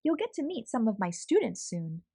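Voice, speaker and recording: A woman voicing a cheerful adult aunt who teaches photography, speaking in an eager, lively way. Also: on the high side, moderate pace, husky, no room sound, clean studio sound, full character voice. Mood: friendly.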